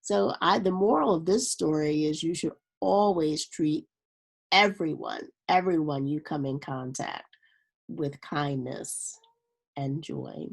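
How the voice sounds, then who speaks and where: Slow-paced woman reading a storybook. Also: sits low, gravelly, slightly rough. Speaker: storybook reader. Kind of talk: read-aloud storytelling for children.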